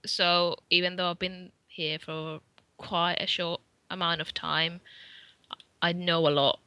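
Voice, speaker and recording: Bright, dry-voiced Finnish-born woman. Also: fairly low pitch, little pitch movement, takes it slowly, clean tone, no echo. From Finland. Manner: chatty, fillers and soft trailing asides. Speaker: podcast guest. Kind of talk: casual chat.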